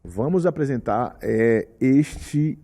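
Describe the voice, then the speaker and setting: A man in his forties from Salvador with a Bahian accent, telling stories of his life on a podcast with lively acted-out quotes. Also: medium pitch, takes it slowly. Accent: Bahian Brazilian Portuguese. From Salvador, Bahia, Brazil. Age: 40s. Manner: animated storyteller, acts out quotes. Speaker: musician guest. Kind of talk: casual podcast storytelling.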